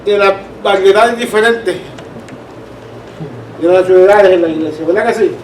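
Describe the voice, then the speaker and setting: A man with a Caribbean Spanish accent and a high voice teaches a Bible class in a conversational way. He explains through anecdotes and checks that people understand. He is picked up from a distance in an echoey room with some background noise. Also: measured pace, darkish tone. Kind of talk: informal church teaching with anecdotes. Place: church room.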